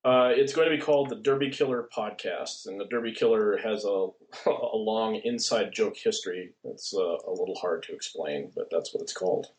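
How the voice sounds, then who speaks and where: A man's voice: lowish voice, normal speed, darkish tone, low-quality recording. A man guesting on a podcast, speaking hesitantly with many uhs and restarts. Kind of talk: casual podcast chat.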